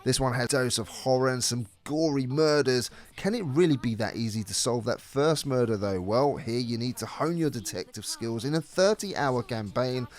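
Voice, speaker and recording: A man's voice: medium pitch, moderate pace, few pauses. A man presenting a scripted video game review as narrator.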